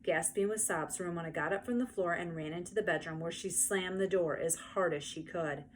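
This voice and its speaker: A woman narrating a children's book aloud in an expressive storytelling style. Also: on the low side, fairly quick. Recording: faint background noise.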